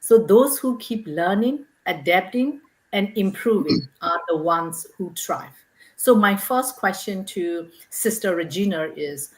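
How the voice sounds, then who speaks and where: A woman's voice: lowish voice, rough recording. A woman hosting and moderating an online business panel, reading questions in an upbeat, scripted way. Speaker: host and moderator.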